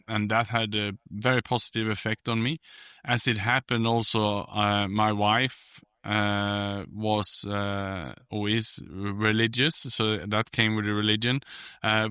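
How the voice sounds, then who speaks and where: A man from Sweden with a Swedish accent, talking reflectively as an interview guest in a measured, earnest way with hesitant phrasing. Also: lowish voice, sharp tone, clear, resonant tone, no room sound, clean studio sound. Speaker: podcast guest, author and coach.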